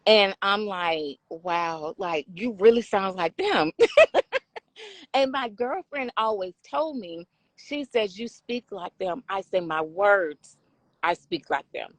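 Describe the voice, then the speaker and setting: Raspy lady hosting a talk show, lots of mm-hmms, dry sound. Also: mid-range voice, animated, takes it slowly, dry recording. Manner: affirming, frequent agreement sounds. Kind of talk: casual discussion.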